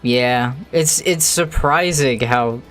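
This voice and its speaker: A game streamer with a medium-pitched voice talks slowly and casually, half to themselves. The delivery is loose and conversational, like someone thinking aloud while they work. Music plays faintly behind the voice. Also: fairly bright tone. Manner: mutters numbers and thoughts aloud to self. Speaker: live streamer modding a video game.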